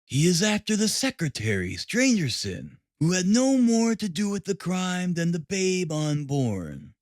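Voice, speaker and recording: Male narrator as boastful detective, smug, emphatic, highish lively pitch, dry audiobook sound. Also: unhurried, dry recording, full character voice. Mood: gloating amusement. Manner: self-satisfied, emphatic, chatty.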